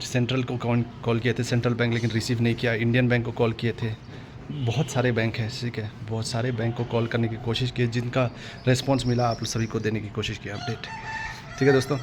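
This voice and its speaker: Indian man, even-toned, casual video update. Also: mid-range voice, brisk, background noise. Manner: repetitive verbal tags seeking agreement. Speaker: exam-update YouTube host. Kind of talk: casual direct address to viewers.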